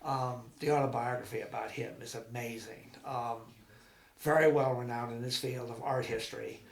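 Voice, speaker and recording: A man in his sixties from Massachusetts, recalling his life in a halting, rambling oral-history interview at home. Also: medium pitch, fairly even intonation, creaky, reverberant. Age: 60s. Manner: rambling, halting with many ums and restarts. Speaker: veteran interviewee.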